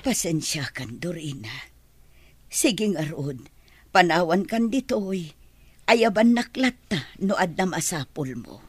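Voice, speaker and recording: Woman playing a husky, meek household helper. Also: on the low side, very animated, slow pace, dry recording, full character voice. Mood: apologetic. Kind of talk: radio drama acting in Ilocano.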